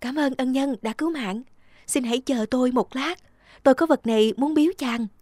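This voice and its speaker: A woman's voice: high voice, fairly quick, a little mellow, no room sound, clean studio sound, pitched above the performer's own voice. A woman voicing a small, grateful magical sparrow with polite, formal speech in a cartoon folktale.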